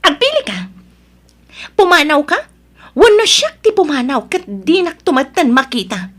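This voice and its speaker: A woman's voice: sits high, very animated, moderate pace, fairly bright tone. A woman acting a jealous, demanding wife in an Ilocano family drama, accusing and confrontational. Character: jealous, demanding, suspicious wife named Jinky.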